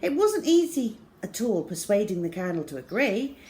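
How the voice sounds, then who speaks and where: A woman's voice: medium pitch, sing-song, moderate pace, a little mellow. A middle-aged woman reading a children's story aloud expressively, indoors in a room. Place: small plain room indoors.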